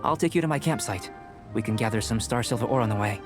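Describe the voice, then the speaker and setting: A man voicing a calm, reserved young alchemist scholar in an anime game, speaking in a measured, precise way. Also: lowish voice, over music, close to an everyday voice. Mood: composed, instructive. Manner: measured, precise, composed, clinical.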